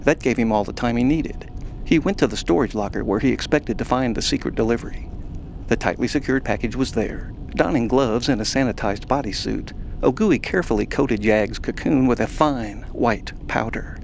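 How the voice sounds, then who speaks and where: Gravelly male narrator. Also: medium pitch, moderate pace, slight background noise, rough recording. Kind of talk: scripted story narration.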